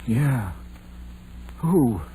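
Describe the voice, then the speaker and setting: An actor playing an uneasy older innkeeper, speaking in a rustic, halting, awestruck way in an old radio horror drama. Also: male voice, mid-range voice, expressive, warm tone, a little hiss or hum, poor audio. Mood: uneasy, awed. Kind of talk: 1940s radio horror acting.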